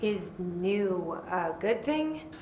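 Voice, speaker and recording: Game role: guarded young woman, hushed and terse, unhurried delivery, slight background noise. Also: fairly low pitch, big pitch swings, exaggerated voice. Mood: guarded curiosity. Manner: terse, hushed, measured, confiding in clipped phrases. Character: guarded young woman searching for her lost brother. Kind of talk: video game cutscene acting.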